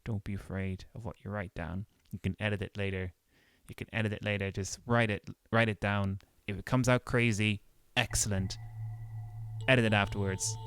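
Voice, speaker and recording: A man with an Irish accent hosting a podcast in casual chat, relaxed and often repeating his words. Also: lowish voice, moderate pace, clean tone. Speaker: podcast host.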